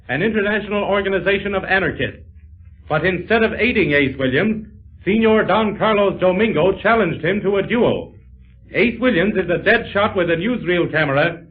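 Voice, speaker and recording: A man announcing a 1930s radio adventure serial in scripted, dramatic narration. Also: high voice. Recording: tinny, narrow-band 1930s radio recording.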